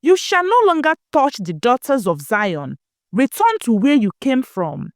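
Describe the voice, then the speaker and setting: Lady as holy spirit woman in a folktale, solemn n commanding, highish expressive voice. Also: a little slow, no echo, studio quality, heavily acted. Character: solemn heavenly female spirit giving divine commands.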